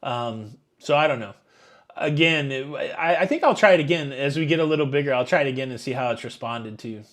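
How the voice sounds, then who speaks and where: A man's voice: mid-range voice, fairly quick, a bit bright. A man around fifty hosting a live stream, reading viewer questions and answering in a rambling, chatty way with many fillers. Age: 50s. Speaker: YouTube cruise channel host. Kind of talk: live Q&A chat reading super chats.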